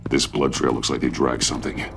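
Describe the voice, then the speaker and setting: A man playing a grim, brooding vigilante in a video game, gravelly-voiced, terse and stern. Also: a bass voice, flat delivery, brisk. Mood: grim, focused. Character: grim masked adult male vigilante hero, brooding and commanding.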